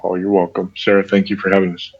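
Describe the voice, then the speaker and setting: Lower-pitched man, slightly dull audio. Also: monotone, quick pace. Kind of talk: casual chat.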